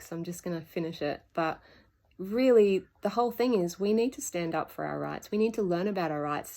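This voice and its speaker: Earnest woman musing in a low voice. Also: dark tone. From Solomon Islands. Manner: earnest, meandering, searching for words. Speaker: spiritual healing channel host. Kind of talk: reflective solo monologue. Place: outdoors at dusk.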